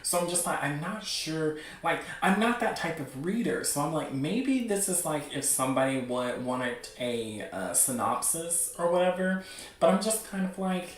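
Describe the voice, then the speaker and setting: A man hosting a show with casual, unscripted commentary, rambling as he thinks out loud. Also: fairly high pitch, expressive, moderate pace. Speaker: host of a webcomic news show. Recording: roomy, echoing sound.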